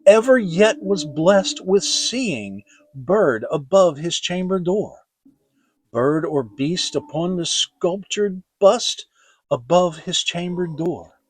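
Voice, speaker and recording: A man performing a grieving, haunted scholar in a theatrical, brooding gothic poetry reading with drawn-out phrasing. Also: on the high side, husky, no echo, exaggerated voice, low-quality recording. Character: grieving, haunted scholar alone at night, increasingly fearful.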